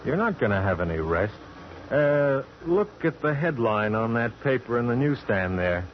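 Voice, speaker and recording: An actor playing a teasing, laconic police detective with an easygoing manner in 1940s radio style. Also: male voice, mid-range voice, very animated, normal speed, lightly acted. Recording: muffled, faintly noisy old radio broadcast.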